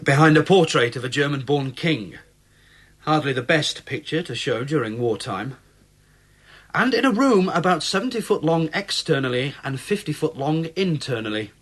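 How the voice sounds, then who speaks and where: An actor playing a tired but persistent police inspector in a radio drama, measured and insistent as he questions. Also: a little high, expressive, fairly bright tone. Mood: probing.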